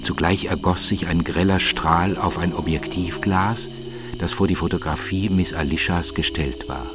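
A man giving a measured, solemn scripted narration of a literary audiobook. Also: low-pitched, fairly even intonation. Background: music.

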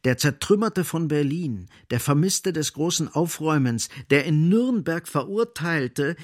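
An actor as the sardonic narrator of a radio play, delivering literary prose in a grave, emphatic, ironic way. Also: male voice, a little high, lively intonation, unhurried. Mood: solemn.

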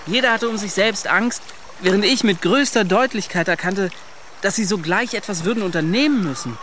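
Earnest young adventurer, high male voice. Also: fairly quick, fairly bright tone, a little hiss or hum. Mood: fervent, earnest. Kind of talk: radio drama acting.

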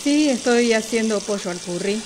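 A woman's voice: fairly high pitch, lively intonation, normal speed, noisy background, natural voice. An Argentine woman playing a blunt, bossy married sister in an amateur radio drama. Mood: casual, curt.

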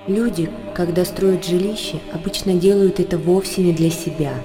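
A man's voice: high voice, steady tone, moderate pace, lightly acted. A man playing the questioning, reflective inner voice of a hermit monk in a literary radio drama. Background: music.

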